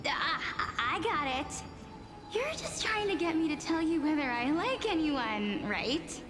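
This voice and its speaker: A gentle, earnest young game heroine with a high voice. She speaks slowly, in a delicate and formal way, as someone bound to a fated sacrifice, with music clearly behind her. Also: sing-song. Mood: flustered, amused. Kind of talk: scripted game dialogue acting.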